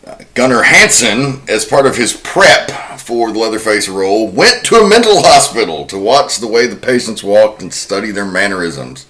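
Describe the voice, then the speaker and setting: Bright-voiced man on a casual podcast. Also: lowish voice, sing-song, normal speed. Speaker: podcast co-host. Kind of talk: casual panel discussion.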